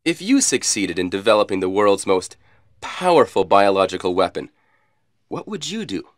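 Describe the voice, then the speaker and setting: An actor playing an arrogant, cold villainous commander in a video game, with theatrical, condescending menace and stilted delivery. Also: male voice, fairly low pitch, very animated, moderate pace, no echo, studio quality. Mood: arrogant, menacing.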